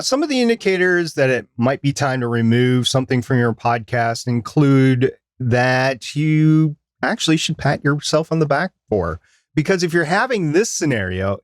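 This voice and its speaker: A man's voice: medium pitch, dry recording, clean studio sound. A man co-hosting a podcast, explaining points in a conversational way. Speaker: podcast co-host.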